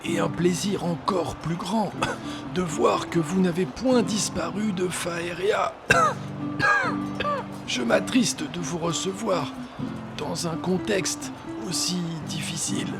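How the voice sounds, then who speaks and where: A man's voice: sits high, sing-song, gravelly, full character voice. An actor playing a frail, ailing old king in a fantasy audio drama, speaking formally with a cough. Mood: gracious, weary. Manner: slow, formal, interrupted by coughing. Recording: voice under loud music.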